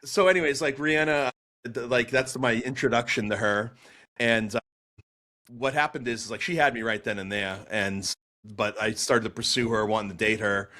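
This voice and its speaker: A man in his forties with a Boston accent, a podcast guest telling his story in a chatty, animated way with lots of fillers and restarts. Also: medium pitch, moderate pace. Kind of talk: storytelling interview answer.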